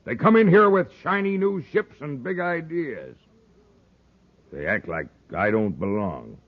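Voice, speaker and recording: An actor playing a crusty, independent old space pilot with a husky, folksy, gruff way of talking in a 1950s radio serial. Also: male voice, fairly high pitch, sing-song, unhurried. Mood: defiant. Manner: folksy, gruff, chuckling old-timer talk. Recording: dull lo-fi vintage radio recording.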